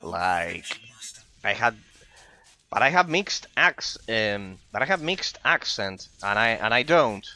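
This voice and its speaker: Bright-voiced man with a European accent. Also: fairly low pitch, sing-song, slow pace. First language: not English. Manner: rambling, with frequent repeated words. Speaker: gaming streamer and English teacher. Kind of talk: casual commentary over gameplay.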